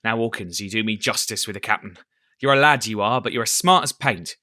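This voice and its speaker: Man playing a loud old pirate cook, blustering, cunning, bright forceful voice, dry sound. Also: on the low side, brisk, no room sound. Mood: jovial. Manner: loud, salty sailor talk, shouting.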